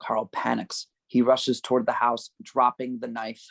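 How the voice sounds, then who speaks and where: A man narrating a screenplay's stage directions at a table read, with a gravelly voice. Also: mid-range voice, completely flat delivery, measured pace, no room sound.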